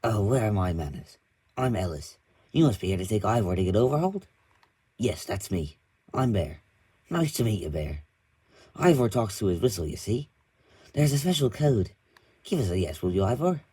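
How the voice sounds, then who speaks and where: A man's voice: lowish voice, very animated, normal speed, pauses often, dark tone, husky. A man voicing a large, friendly male tank engine who explains things in an easygoing way.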